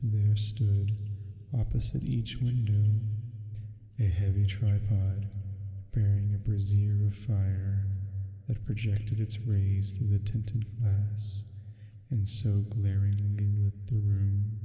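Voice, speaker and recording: Dark, slow, echoing demon acted by a man. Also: lowish voice. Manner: measured, hushed delivery with long pauses. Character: male sleep paralysis demon reading a bedtime horror tale. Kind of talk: slow ASMR reading of gothic fiction. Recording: heavily reverberant, echoing voice.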